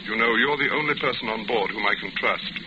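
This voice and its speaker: An actor playing a smooth, scheming fugitive in a 1940s radio drama, polite, insinuating and self-assured. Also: male voice, lowish voice, quick pace, natural voice. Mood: insistent, smooth. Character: smooth, scheming male fugitive, coolly persuasive. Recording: muffled, noisy vintage radio recording.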